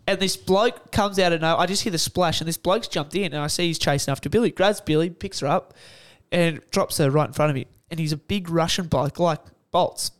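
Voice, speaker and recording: Australian male panellist, higher register, loose chatty storytelling that keeps interrupting itself. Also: fairly quick, hardly any pauses. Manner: rambling, self-interrupting. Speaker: podcast panellist. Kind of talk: casual banter and anecdotes.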